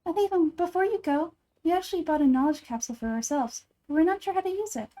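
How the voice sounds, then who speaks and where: A woman's voice: very high voice, fast talker, warm tone, pitched above the performer's own voice. A woman voicing a small, childlike fairy companion from a video game, chirpy, curious and cheekily blunt. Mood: inquisitive. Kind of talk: game dialogue read aloud.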